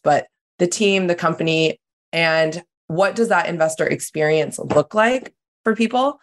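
A woman co-hosting a podcast in a casual, upbeat way, her voice a little worn. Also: fairly low pitch. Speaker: podcast co-host.

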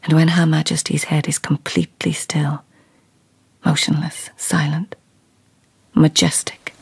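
An actress in a radio drama playing a devoted, anxious lady of the old Japanese court, with a breathy, urgent delivery. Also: deep voice, flat delivery, measured pace, full character voice. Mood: hushed, careful. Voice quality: husky, breathy.

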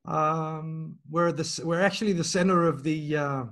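A man's voice: a little high, steady tone, moderate pace, a bit bright. A man in his forties from Australia, talking hesitantly with many ums and restarts on a video call. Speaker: language school owner. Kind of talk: casual video call chat.

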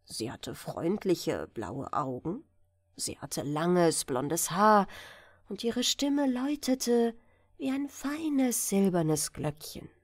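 Lady reading a bedtime fairy tale, really slow n expressive, lots of up and down, dry close sound. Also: on the low side, no echo. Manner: expressive, careful storytelling. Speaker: audiobook reader.

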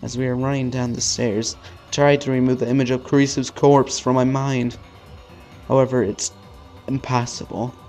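A man's voice: mid-range voice, little pitch movement, moderate pace, a little mellow. A man hosting a let's play, reading visual novel narration aloud dramatically and stumbling over the text now and then. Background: faint game music.

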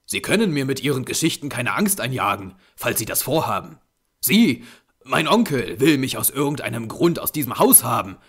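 A haunted and suspicious man acted out by a male reader in a horror audiobook. He is loud, harsh and accusing, with defiance over fear and wide swings of pitch. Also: big pitch swings, moderate pace, husky. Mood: angry, suspicious.

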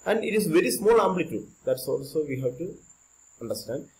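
A man's voice: on the high side, big pitch swings, fairly quick, rough recording. A man with an Indian accent giving an informal explanatory lecture as an electronics teacher, repeating and rephrasing points in simple non-native English. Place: indoors, screen-recorded voice-over.

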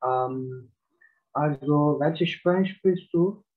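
A man's voice: on the high side, extremely slow. A man in his twenties with a Turkish accent, practicing a German speaking exam with many hesitations. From Turkey. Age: 20s. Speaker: language learner. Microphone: video call. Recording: tinny phone-like online call.

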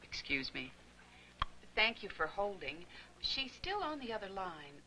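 A middle-aged actress playing a courteous, instructive receptionist in a scripted 1980s training film. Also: female voice, mid-range voice, sing-song, a little slow, noisy background. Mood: polite, friendly. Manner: polite, clearly instructive. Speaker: receptionist and narrator. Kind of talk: scripted training-film narration and acted dialogue. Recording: 1980s film soundtrack, faint music.

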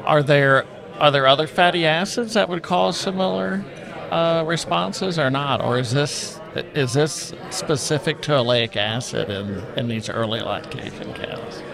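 Man, slightly high, gravelly, restarts his questions, busy conference crowd behind. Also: measured pace. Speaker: podcast co-host. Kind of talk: asking follow-up questions. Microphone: table mic on stand, wearing headphones.

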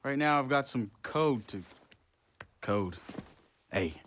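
A friendly young techie in a game cutscene. His mid-range voice is relaxed in manner, casual and joking. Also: medium pitch, slow pace. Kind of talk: video game cutscene acting.

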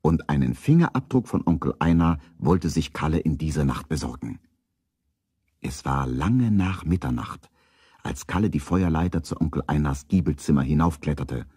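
A man narrating a children's radio play as a calm storyteller, reading scripted prose. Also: extremely low pitch, moderate pace, slightly rough, no echo, clean studio sound, exaggerated voice. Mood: measured, storytelling.

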